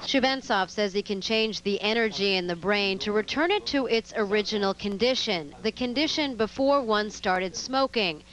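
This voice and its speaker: Woman news reporter, mid-pitched, steady scripted voice-over. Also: slight background noise, low-quality recording. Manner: measured, clear delivery.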